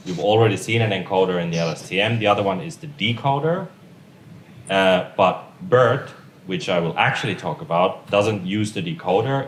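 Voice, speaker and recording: A man's voice: deep voice, fairly bright tone. A man whose first language is Finnish, giving a technical tutorial at a conference in an informal, joking way. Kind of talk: technical lecture. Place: conference room. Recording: echoey room with faint noise.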